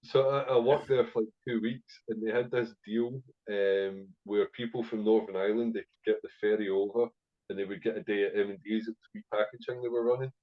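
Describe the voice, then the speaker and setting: A man's voice: on the low side, little pitch movement, a little fast, highs cut off. A man with a Scottish accent telling stories as a podcast guest, swearing freely and rambling with plenty of fillers. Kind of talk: casual storytelling interview.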